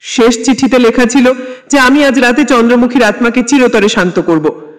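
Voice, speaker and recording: Solemn, determined doctor-researcher for a horror storybook, voiced by a man, extremely high register, resolute delivery. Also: normal speed, in a large hall. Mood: solemn resolve. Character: determined male doctor-researcher writing a last letter.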